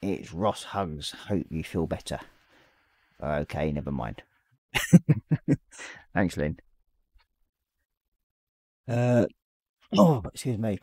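A man in his forties with a slightly croaky voice, casually chatting on a live stream and reading out viewer messages as he paints. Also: low-pitched, drawn-out words, pauses often, dry recording. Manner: reads chat messages aloud, relaxed and rambling. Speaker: livestream host. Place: small hobby room at home.

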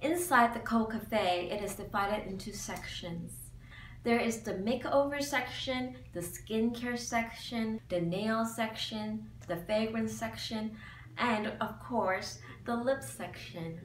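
A woman's voice: a little high, slow, distant mic. A cheerful young woman presenting a beauty vlog in upbeat scripted narration, filmed against a plain wall in a small room. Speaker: beauty vlogger. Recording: echoey room, mic set back, faint hum.

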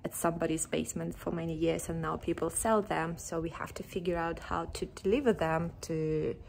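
A young woman vlogging casually while walking down a quiet village street, chatty and often laughing, filmed on a phone held at arm's length. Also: deep voice, steady tone, brisk, gravelly, a little hiss or hum. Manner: chatty, breaks into frequent laughs. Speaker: renovation vlogger.

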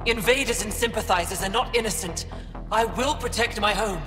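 A woman's voice: mid-range voice, moderate pace, close to an everyday voice. An actress playing a vengeful, hard-hearted female alien militant in a video game, menacing and embittered. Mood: cold, menacing. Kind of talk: video game character dialogue. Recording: echoey, long reverb, loud music.

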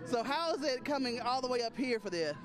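A male TV meteorologist with a very high voice holds a handheld mic at an outdoor festival. He chats warmly with his guests, and music plays clearly in the background. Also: lively intonation, fairly quick. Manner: friendly, chatty. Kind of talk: live TV interview.

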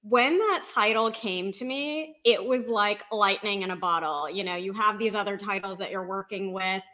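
A woman's voice: medium pitch, moderate pace, pushed and bright. A woman speaking casually as a guest author in an interview over a video call. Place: indoor room with bookshelves, video call.

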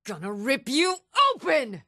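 A woman's voice: on the high side, very animated, unhurried, sharp tone, no echo, clean studio sound, exaggerated voice. An actress playing a cruel, gloating female bandit raider in a fantasy video game, menacing and jeering. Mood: aggressive.

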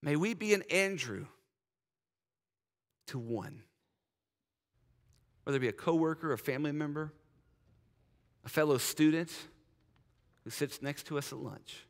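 Earnest pastor in a reverberant sanctuary. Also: male voice, on the high side, animated, a little slow, pauses often. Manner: earnest, urging, drops soft in prayer. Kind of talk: sermon invitation and prayer. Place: large church sanctuary. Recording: church hall reverb.

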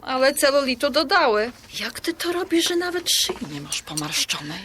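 An actress in a radio drama playing a modest, self-doubting Polish pensioner around sixty, speaking in a hesitant, demurring, conversational way. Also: female voice, sits high, big pitch swings, normal speed, fairly bright tone, a little hiss or hum. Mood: self-deprecating.

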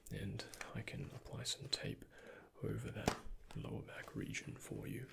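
A young man speaking in a breathy, hushed ASMR whisper, gently and unhurriedly, close to the microphone as in a doctor roleplay. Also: on the low side, wildly swooping pitch, a little slow. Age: young adult. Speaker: ASMR creator playing a doctor. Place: indoors, close before a dark backdrop.

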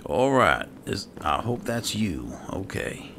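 A man doing in-character gameplay commentary, low-key and musing to himself, over game music. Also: big pitch swings, slow, creaky, a bit bright, slight background noise. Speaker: gamer playing a roleplay series.

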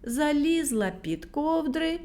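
A woman reading a fairy tale aloud for children, speaking gently and addressing them directly. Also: sits high, expressive, slow. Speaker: storyteller for children.

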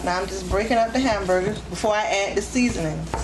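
A woman's voice: mid-range voice, fast. A woman hosting a home cooking demonstration, giving plain step-by-step instructions as she works at the stove. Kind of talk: hands-on cooking demonstration narration. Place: indoors, home kitchen at the stove. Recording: echoey kitchen, loud sizzle and stove noise.

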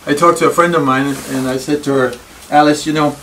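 An older man with a Danish accent gives a reflective talk in a wood-paneled library room. His tone is thoughtful and wry, with pauses and self-deprecating asides. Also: fairly high pitch, fairly even intonation. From Denmark. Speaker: speaker giving a library talk. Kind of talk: reflective public lecture. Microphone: far camera. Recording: distant room recording with faint noise.

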